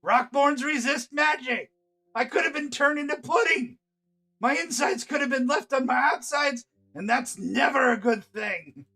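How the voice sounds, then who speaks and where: A man voicing an indignant, blustering male dwarf who protests loudly with drawn-out exclamations. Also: very high-pitched, vocal fry, pitched above the performer's own voice, exaggerated voice. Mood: indignant, outraged.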